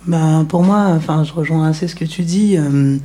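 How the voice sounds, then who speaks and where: A woman's voice: low voice, moderate pace, mellow. A woman talking casually in a round-table discussion, searching for her words as she goes. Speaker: radio workshop participant.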